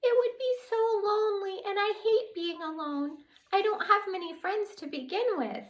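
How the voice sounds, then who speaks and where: A woman reading aloud, voicing a tiny, tearful female fairy with a high-pitched voice that sobs between words. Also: normal speed. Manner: weepy, sobbing between words.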